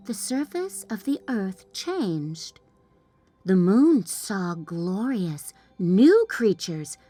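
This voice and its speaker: A woman reads a picture book to children with dramatic emphasis. Her delivery is extremely slow and very animated. Faint music plays behind her storytelling. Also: mid-range voice. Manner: dramatic, deliberate emphasis for young listeners. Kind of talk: children's storybook read-aloud.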